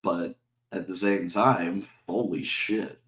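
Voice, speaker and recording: Brief: deep-voiced male sports-vlog host, sore throat, heated and profane, roomy echo. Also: fairly even intonation, slow pace, fairly bright tone. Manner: heated, profane, repetitive rhetorical questions. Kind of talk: ranting fan commentary to camera.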